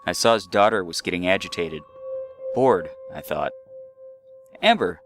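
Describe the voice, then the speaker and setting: A man's voice: deep voice, moderate pace. A man reading a scripted first-person horror story as narrator. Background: eerie background music.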